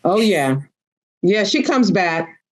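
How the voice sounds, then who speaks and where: A woman's voice: mid-range voice, animated, slow pace. A woman hosting a podcast panel, talking in a casual, conversational way. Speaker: host of a podcast discussion panel.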